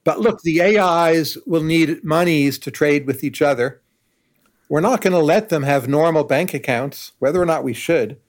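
A man in his sixties, a professor and guest, giving measured, confident opinions in an interview. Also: fairly high pitch. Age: 60s. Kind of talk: conversational interview.

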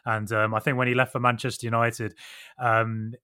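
A man hosts a podcast, putting questions to his guest in a measured, casual tone. His pitch is ordinary for a man. The sound is dry and close. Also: flat delivery, sharp tone, no echo. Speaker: podcast host.

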